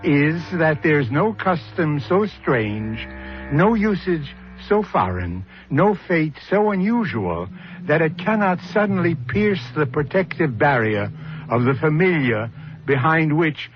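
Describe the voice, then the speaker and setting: A man hosting a 1970s radio drama with deliberate, theatrical scripted narration. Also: fairly high pitch, sing-song, faint music behind. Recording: dull, lo-fi old radio recording.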